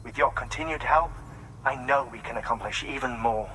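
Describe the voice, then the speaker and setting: An actor playing a courteous, formal male scientist in a video game cutscene, speaking in a polite, measured way. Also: mid-range voice, lightly acted, poor audio.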